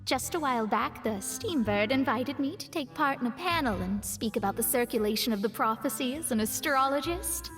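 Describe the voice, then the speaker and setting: A proud, wry young astrologist, composed and a touch haughty as she explains. Her voice sits high and lively, with a long reverb tail, and soft game music behind. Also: brisk, hardly any pauses. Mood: serious. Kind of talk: studio game dialogue.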